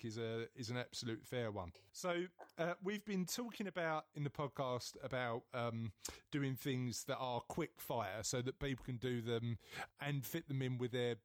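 A man from East London with a London accent, hosting a podcast interview in a chatty, self-mocking way with halting restarts. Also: medium pitch, no room sound, studio quality. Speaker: podcast host. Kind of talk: casual interview chat.

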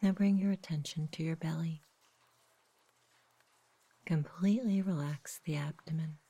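A woman guiding a sleep meditation in a soft, whispery, husky voice, gentle and unhurried. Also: deep voice. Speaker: meditation guide. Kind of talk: guided sleep meditation, slow soothing narration.

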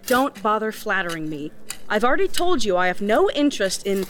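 A woman's voice: mid-range voice, big pitch swings, brisk, natural voice. A woman playing a blunt, hardworking village farmer in a fantasy audio drama, brusque and dismissive. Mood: irritated. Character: blunt, scolding, hardworking village farm sister.

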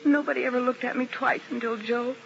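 Grieving plain young woman, crying, bright tense voice, old radio play, muffled broadcast. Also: on the high side, steady tone, creaky, slight background noise. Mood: bitter. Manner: despairing, slow and broken. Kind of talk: 1950s radio drama acting.

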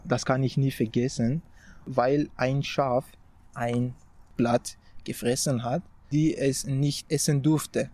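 Slow-speaking young man from Afghanistan. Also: medium pitch, dark tone, no echo. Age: young adult. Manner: slow, searching for words, halting restarts. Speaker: interview guest. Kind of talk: personal storytelling.

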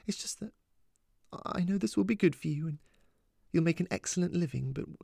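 A man voicing an anxious, loving mother in a story, talking in a fretful, halting way. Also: mid-range voice, a little mellow, no room sound, clean studio sound, close to an everyday voice. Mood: tearful, relieved. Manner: fretful, halting, repeating words. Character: anxious, exasperated, loving mother of a teenage daughter. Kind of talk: audiobook character dialogue.

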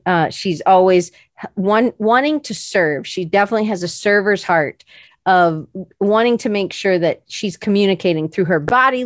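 A woman's voice: mid-range voice, no room sound. A woman hosts a casual interview and speaks enthusiastically, heaping praise on her guest. Her mid-range voice comes across dry and close over a video call. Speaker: host.

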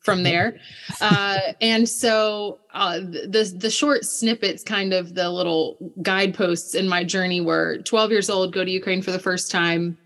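A woman, a missionary guest telling her story in a lively, chatty way. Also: on the low side. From Alabama, US. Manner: lively, storytelling, quick asides.

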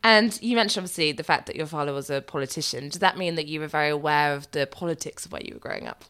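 A woman's voice: low-pitched, fast talker, a bit bright. A woman hosting a podcast, introducing her guest and asking friendly questions. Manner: friendly, asks questions, laughs along. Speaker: podcast host. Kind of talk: podcast interview.

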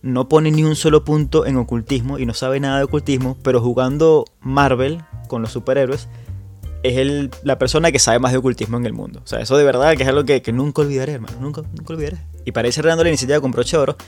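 A man's voice: mid-range voice, steady tone, fast, darkish tone, soft background music. A man with a Venezuelan accent hosting a tabletop gaming podcast in a chatty, casual way, often restarting and repeating words. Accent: Venezuelan Spanish. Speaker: podcast host.

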